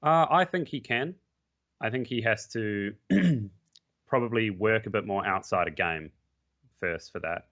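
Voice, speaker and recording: A man's voice: fairly low pitch, measured pace, fairly bright tone. A man, a pro esports player, talking casually and bluntly as a podcast guest, with frequent restarts and the odd swear. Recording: muffled lo-fi mic.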